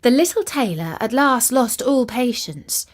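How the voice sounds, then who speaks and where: A woman narrates a children's fairytale at an unhurried pace. Her delivery is expressive and deliberate, with a very animated rise and fall in pitch. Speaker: storyteller.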